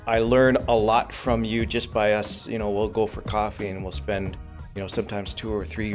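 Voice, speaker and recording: A man hosting a business podcast, introducing his guest in an earnest, measured way with frequent fillers. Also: fairly low pitch. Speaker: podcast host. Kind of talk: interview hosting.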